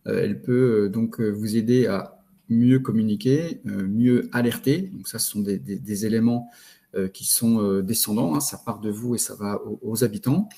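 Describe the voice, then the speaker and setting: A man presenting a webinar in a didactic, halting manner, laying out points one by one. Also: on the low side, vocal fry, dark tone. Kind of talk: explanatory webinar talk.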